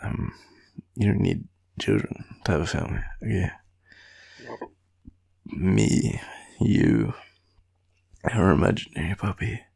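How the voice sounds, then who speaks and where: Australian man, low-pitched, heavy vocal fry, tired, affectionate, rambling, intimate roleplay. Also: big pitch swings, slow pace, pauses often, darkish tone. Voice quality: very deep, gravelly, breathy. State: tired, sleepy. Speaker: boyfriend roleplay audio performer.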